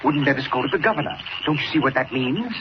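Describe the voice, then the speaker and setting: Actor as a blustery, swooping fussy gentleman. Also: mid-range voice, animated, fast, close to an everyday voice. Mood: agitated. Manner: blustery, old-fashioned exclamations. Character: fussy, indignant older gentleman, excitable and self-important. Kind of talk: 1940s radio acting. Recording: muffled, noisy old radio recording.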